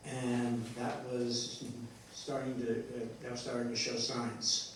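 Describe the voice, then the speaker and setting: A distant, strongly reverberant recording of a man with a faint noise behind him. He talks as a writer explaining his work, rambling and halting, often restarting as he searches for words. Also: mid-range voice, completely flat delivery. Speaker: playwright discussing his play. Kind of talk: rambling post-show discussion. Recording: echoey room, distant mic, faint noise.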